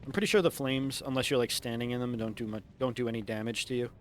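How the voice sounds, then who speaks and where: A man giving casual, instructive walkthrough commentary on a video game, his voice congested and raspy from a head cold. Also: medium pitch, fairly even intonation, fast talker. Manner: casual, instructive, mildly profane. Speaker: gameplay commentator.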